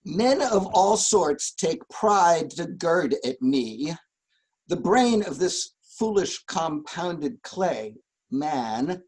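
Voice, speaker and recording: An actor playing Falstaff, a fat boastful old knight, in a slow, theatrical Shakespeare reading over a video call. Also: male voice, high voice, darkish tone, husky, exaggerated voice, poor audio. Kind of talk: live-streamed Shakespeare scene reading.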